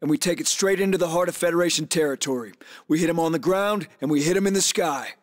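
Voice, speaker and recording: An actor playing a gruff, commanding middle-aged special forces soldier in a military shooter game, giving clipped, urgent orders. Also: male voice, fairly high pitch, fast talker, close to an everyday voice. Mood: grave, resolute.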